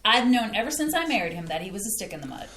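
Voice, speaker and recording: A woman's voice: medium pitch, sing-song, very fast, fairly bright tone. A woman reading the part of a fed-up middle-aged mother and wife in an amateur staged play reading, speaking emphatically and scolding. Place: theater stage. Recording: roomy stage sound.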